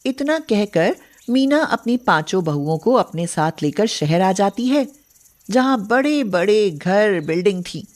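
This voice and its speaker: A woman's voice: medium pitch, expressive, unhurried, darkish tone. A woman narrating a scripted story as the storyteller.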